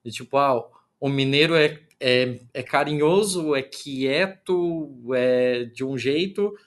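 A man, hosting a casual chat in a relaxed way and asking his guest questions. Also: mid-range voice, takes it slowly. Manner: relaxed, asks questions, laughs along. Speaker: podcast host. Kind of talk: casual conversation.

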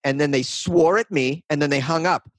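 A man's voice: fairly high pitch, moderate pace, no echo. A man in his thirties from north of Boston, chatting as an interview guest in a rambling, self-deprecating way. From north of Boston, USA. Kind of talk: casual interview chat.

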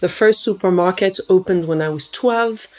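A woman who grew up in Morocco, a nutritionist guest in an interview, telling stories about her childhood in a lively way. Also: lowish voice, moderate pace, poor audio. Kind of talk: interview conversation.

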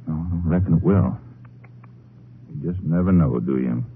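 Casting: folksy grumbling rancher, deep Western American voice, tinny noisy fifties radio recording. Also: male voice, normal speed. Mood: evasive, casual. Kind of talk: 1950s radio western acting.

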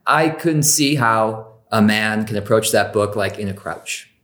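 A man hosting a podcast interview, speaking in a relaxed way and pausing with ums and restarts as he frames his questions. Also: on the low side. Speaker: podcast host. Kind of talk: casual interview.

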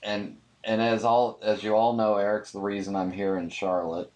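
Low-voiced podcast host, male. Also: level tone, normal speed, a little mellow. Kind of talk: conversational interview. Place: studio.

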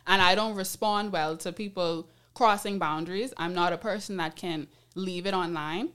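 A woman's voice: fairly low pitch, moderate pace, a bit bright, clean tone. Bahamian woman hosting a casual podcast. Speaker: podcast host.

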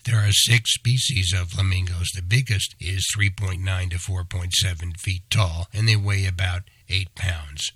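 A man with a scratchy, hoarse voice hosting an educational podcast, explaining animal facts slowly and clearly. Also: low-pitched, fairly even intonation, fairly bright tone, dry recording. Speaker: podcast host. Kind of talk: educational podcast talk for kids.

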